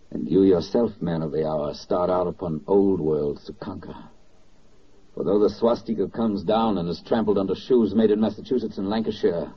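Solemn 1940s radio narrator, dull recording. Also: male voice, low voice, breathy edge. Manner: declamatory, dramatic pauses. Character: solemn, oratorical male narrator of wartime victory.

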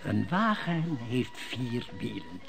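A man's voice: medium pitch, very animated, slow pace, creaky, music in the background, exaggerated voice. An actor playing a scheming male court elder who speaks in sly riddles, in an old radio play. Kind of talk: 1950s Dutch radio drama acting.

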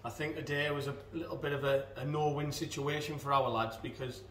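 A man's voice: mid-range voice, steady tone, fairly quick, fairly bright tone, slight background noise. A man in his thirties, an assistant football manager, giving a post-match interview with many fillers and restarts. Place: indoors in front of a club sponsor backdrop. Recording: echoey room, mic at a distance.

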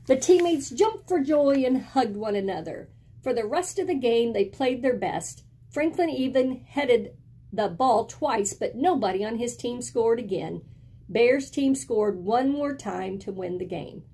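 A middle-aged woman reading a picture book aloud in an expressive, deliberate storytelling style, indoors at home. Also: on the high side, slightly rough. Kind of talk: expressive children's read-aloud. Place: small indoor room at home with bookshelves.

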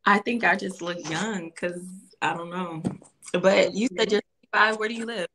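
A woman's voice: medium pitch, normal speed, slight background noise, poor audio. A woman in her thirties from California, chatting and laughing on a live video call dating show. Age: 30s. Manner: laughing, teasing, lively. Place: indoor room. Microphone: video call, phone or laptop.

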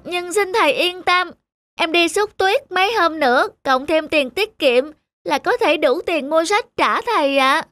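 An earnest, bookish little boy in a children's cartoon. The voice is extremely high and bright, with a respectful manner. Faint music runs behind it. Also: animated, unhurried, dry recording, full character voice. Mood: apologetic.

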